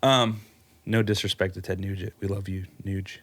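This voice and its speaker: A young man, hosting a podcast in casual chat, blunt and quick to laugh. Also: low voice. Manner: animated, laughing, blunt and joking. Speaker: podcast host.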